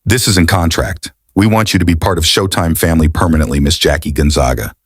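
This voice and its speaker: A man's voice: deep voice, steady tone, fairly quick, husky, no room sound, studio quality. A man playing a serious, direct TV director in an audio drama, formal and mixing English into his speech. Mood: businesslike. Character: serious, businesslike male TV director, direct and commanding.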